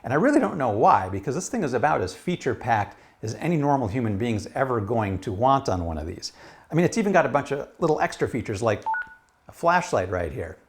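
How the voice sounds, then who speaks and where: A man's voice: medium pitch, very animated, brisk. A man giving a casual product review in a conversational, emphatic way.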